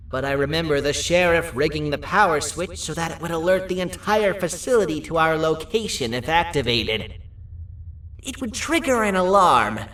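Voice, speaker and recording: An actress playing a practical, cautious, resourceful companion in a video game. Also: female voice, low-pitched, a bit bright, full character voice. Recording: roomy, distant, faint music.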